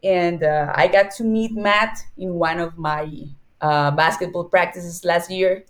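A woman's voice: on the low side, fairly even intonation, a little slow. A woman, chatting cheerfully as a podcast guest. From Costa Rica. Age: 40s. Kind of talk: casual interview chat.

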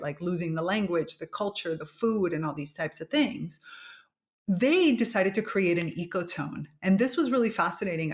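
A woman from Miami hosting a solo podcast, explaining ideas in a thoughtful, unhurried way with frequent fillers and "right?" asides. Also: on the low side. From United States (Miami). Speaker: podcast host. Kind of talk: reflective solo podcast talk.

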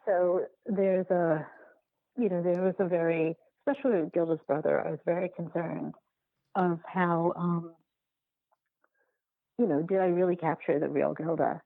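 A woman filmmaker answering interview questions in a halting, reflective way, often circling back to rephrase, over a phone-like line. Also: on the low side, steady tone, vocal fry, no room sound. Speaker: documentary filmmaker being interviewed.

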